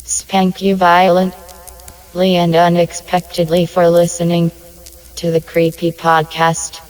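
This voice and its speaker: A woman's voice: lowish voice, monotone, slow pace, a little mellow, low-quality recording. A woman reading a scripted podcast announcement.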